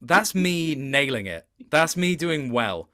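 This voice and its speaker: A man's voice: fairly high pitch, sing-song, slow pace, sharp tone. A man talking casually as an interview guest, thoughtful, hesitant and a little self-deprecating. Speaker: interview guest, video game voice actor. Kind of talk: casual chat.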